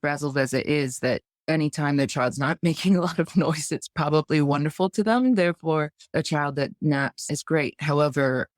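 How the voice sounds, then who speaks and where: A woman in her thirties from the United States, a podcast guest telling her personal story in a measured, thoughtful way. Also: low voice, no echo, clean studio sound. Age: 30s.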